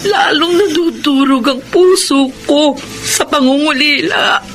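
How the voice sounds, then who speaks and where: An actress playing a humble, deeply emotional young mother in a Filipino radio drama, with a Filipino accent. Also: unhurried. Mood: despairing. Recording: clear music bed under voice.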